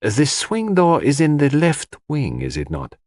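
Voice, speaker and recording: A man voicing a calm, courteous detective who questions people precisely in an audiobook mystery. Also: on the high side, measured pace, dry recording, studio quality, voice pushed up. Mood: calm, probing.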